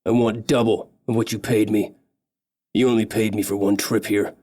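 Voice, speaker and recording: A man's voice: on the low side, flat delivery, moderate pace, warm tone, lightly acted. A man voicing a blunt, wary male mountain guide who haggles over pay. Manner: blunt, bargaining.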